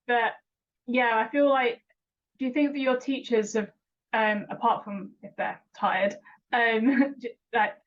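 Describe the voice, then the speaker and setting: A woman's voice: fairly high pitch, steady tone, measured pace. A young adult woman interviewing a guest in an encouraging tone. She stammers, repeats words and uses many fillers. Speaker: interviewer and regular vlogger. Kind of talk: supportive interview chat. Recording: dull lo-fi recording.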